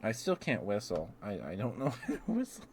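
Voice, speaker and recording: Low-voiced man in animated, rambling ASMR chat. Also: brisk, a little hiss or hum. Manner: rambling, affectionate with viewers. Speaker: livestream host. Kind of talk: ASMR livestream casual chat.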